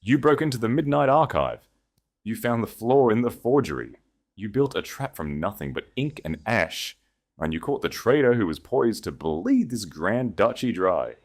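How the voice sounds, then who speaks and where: A man's voice: on the low side, animated, normal speed, pitched above the performer's own voice, natural voice. A man voicing a proud, grave sovereign grand duke with a declamatory, regal delivery in a romance audiobook. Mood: grave remorse. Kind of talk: dramatic romance audiobook dialogue.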